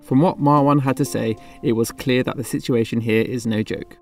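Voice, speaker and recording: A man's voice: medium pitch, steady tone. Reflective male vlogger narrating. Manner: measured, reflective explaining. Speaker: travel vlogger. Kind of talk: scripted narration.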